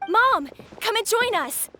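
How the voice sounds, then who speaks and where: Eager high-voiced young child. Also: female voice, animated, takes it slowly, bright, forceful tone, a little hiss or hum, full character voice. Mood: excited. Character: excitable young child calling mother to eat. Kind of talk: scripted video game dialogue.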